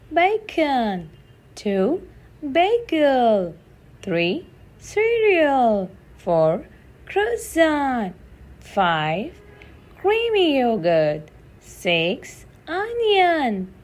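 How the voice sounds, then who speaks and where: A woman reading a children's picture book aloud in a deliberate, sing-song way, counting and asking questions. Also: on the high side, darkish tone.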